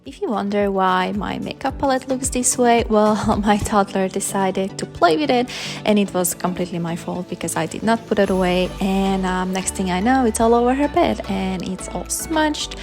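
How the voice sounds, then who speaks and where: A woman's voice: mid-range voice, normal speed, music in the background. A woman in her thirties from Slovakia, chatting casually while doing her makeup in a vlog. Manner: relaxed, chatty, talks while applying makeup. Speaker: vlogger, stay-at-home mom. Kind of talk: casual makeup tutorial chat.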